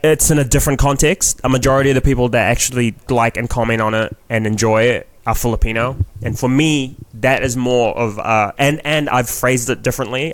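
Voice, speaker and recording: A man with a New Zealand accent talks on a podcast as a guest comedian. His voice sits at an ordinary middle pitch. He speaks frankly, swears freely, and trails into restarts as he rambles through his point. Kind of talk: casual podcast chat.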